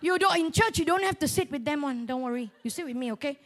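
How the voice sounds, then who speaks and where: High-pitched Malaysian woman preacher. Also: fast talker. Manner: animated, colloquial, humorous, with local particles like lah and ah. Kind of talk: storytelling sermon with acted-out dialogue.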